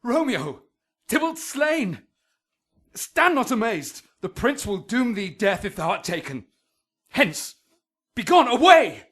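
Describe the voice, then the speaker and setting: An actor playing an anxious, sensible young peacemaker in a Shakespearean radio drama, measured and pleading. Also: male voice, very high voice, sing-song, a bit bright, heavily acted. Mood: urgent.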